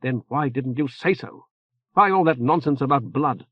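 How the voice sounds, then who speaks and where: A man's voice: expressive, moderate pace, no echo, lightly acted. A man voicing a blunt, weary female general who speaks tersely, in a dull, muffled recording. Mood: flat, blunt. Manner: terse, low and quiet. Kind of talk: audiobook character voice.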